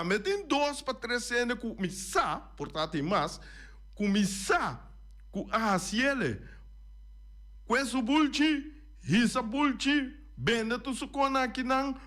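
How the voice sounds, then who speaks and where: A man with a high voice talks slowly and deliberately as a radio host. He drives his points home with repetition, running through lists item by item. The sound is a close studio microphone. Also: expressive, a bit bright. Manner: emphatic, repeats and lists points. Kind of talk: radio talk commentary.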